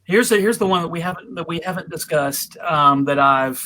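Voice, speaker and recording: Male, high voice, bright tone, casual moderator, chatty, video call. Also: brisk, a little hiss or hum. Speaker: panel host and moderator. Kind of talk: casual panel chat.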